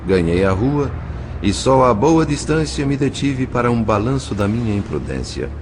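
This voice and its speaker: A Brazilian man playing a composed spirit narrator in an audio novel, speaking in a formal, articulate, measured way. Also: medium pitch, big pitch swings, background noise, natural voice. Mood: grave, composed. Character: composed male spirit narrator recounting his undercover mission. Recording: music behind the voice. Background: music.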